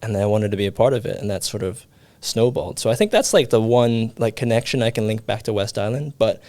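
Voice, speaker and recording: Relaxed young man, dry close sound. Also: fairly low pitch, fast, no room sound. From Hong Kong. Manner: relaxed, chatty, many fillers. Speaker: guest, production company founder. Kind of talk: casual interview. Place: video podcast studio.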